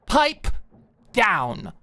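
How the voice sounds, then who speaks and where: A woman's voice: mid-range voice, huge pitch swings, drawn-out words, sharp tone, no echo, heavily acted. Woman acting as exasperated well-spoken young nobleman, dramatically swooping. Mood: exasperated scolding. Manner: formal, lecturing, emphatic. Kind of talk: dramatic audio roleplay acting.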